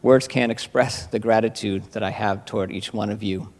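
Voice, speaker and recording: A man's voice: on the low side, steady tone, normal speed, a little mellow. A man giving a grateful, heartfelt testimony to a church congregation, speaking with some hesitant fillers, in a reverberant sanctuary.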